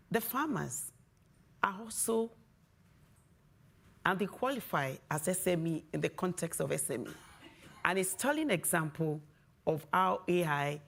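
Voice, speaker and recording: A woman from Nigeria whose first language is Yoruba, speaking as a panelist and answering slowly, with pauses between phrases. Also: low-pitched, gravelly. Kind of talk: panel discussion.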